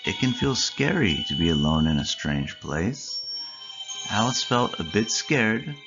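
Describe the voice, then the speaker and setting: A storyteller reading a scripted children's story aloud in measured phrases with deliberate pauses. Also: low voice, sing-song, dull audio. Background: clear background music.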